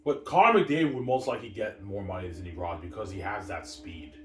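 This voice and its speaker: A man's voice: low voice, lively intonation, fast. A man rambling casually, repeating himself in a boastful way, with emphatic bursts that trail off. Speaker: vlogger. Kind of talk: rambling casual hockey talk. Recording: roomy, distant mic, faint noise.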